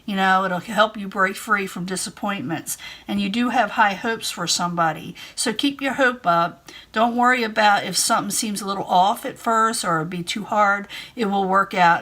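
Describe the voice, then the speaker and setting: A woman's voice: medium pitch, fairly bright tone. A woman doing a casual tarot reading, chatty and reassuring, who says she is losing her voice. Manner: chatty, reassuring, repeats herself. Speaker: tarot reader.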